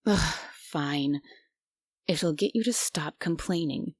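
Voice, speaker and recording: A woman's voice: low-pitched, slow pace, slightly rough, no echo, studio quality, heavily acted. A woman playing a strict, stubborn guardian angel who is bossy, prim and grudgingly caring, in an immersive roleplay audio drama. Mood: flustered, huffy. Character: strict, stubborn but secretly kind female guardian angel.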